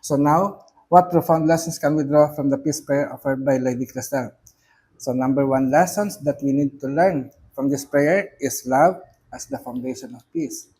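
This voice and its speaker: A man with a Filipino accent, speaking English as a second language, giving a measured, solemn reflection on a prayer with occasional fillers. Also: medium pitch, darkish tone, husky, rough recording. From Philippines. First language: not English. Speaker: reverend giving commentary.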